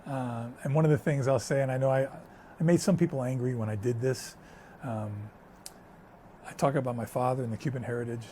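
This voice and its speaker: A man's voice: medium pitch, a little fast, a little hiss or hum. A man from the United States, a guest telling stories in a casual conversation. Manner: storytelling, animated and talkative.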